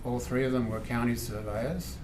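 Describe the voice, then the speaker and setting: A man's voice: medium pitch, steady tone, moderate pace. A middle-aged man with an Australian accent giving an informal academic seminar talk as a visiting professor. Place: indoor university seminar room. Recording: echoey lecture room, clear background noise.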